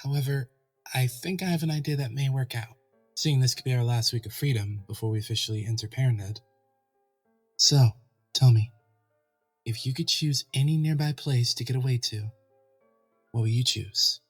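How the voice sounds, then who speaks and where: A loving young stallion, acted by a man, talks in an audio story. His voice sits at a middle pitch and sounds earnest and affectionate, with music playing underneath. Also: normal speed, pauses often, slightly rough, lightly acted. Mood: earnest, fond. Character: young stallion husband, fed up with work, loving, upbeat. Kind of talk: acted audio fan fiction.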